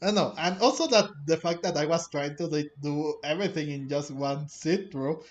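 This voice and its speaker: A man's voice: fairly high pitch, moderate pace, dull audio. A man hosting a game playthrough, reading dialogue aloud and commenting in a rambling, hesitant way. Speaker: gaming video host.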